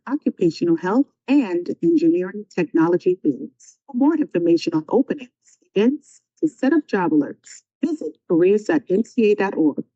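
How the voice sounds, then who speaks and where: A woman's voice: mid-range voice, mellow, husky, no echo, dull audio. A woman delivering a scripted recorded public announcement for a transit system.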